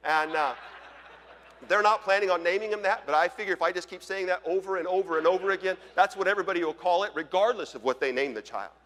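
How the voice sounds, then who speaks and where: A man's voice: high voice, fast talker, big-room sound, rough recording. A pastor in his forties from Colorado preaching a conversational, storytelling sermon. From Colorado, USA. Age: 40s. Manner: storytelling, conversational, swings from loud to hushed. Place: church.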